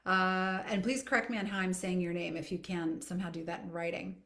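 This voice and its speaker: A woman's voice: lowish voice, steady tone, a little fast, a bit bright. A middle-aged woman speaking as a voice coach in a live Q&A, explaining things reflectively and addressing listeners directly in a conversational way. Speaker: voice coach and therapist hosting a live Q&A. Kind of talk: conversational live Q&A, answering audience questions. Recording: echoey, roomy sound.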